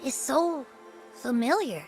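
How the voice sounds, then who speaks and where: A woman's voice: high-pitched, very animated, slow, darkish tone, soft background music, full character voice. A woman voicing a small, boastful creature companion in a video game, huffy, blunt and haughty. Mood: alert, uneasy.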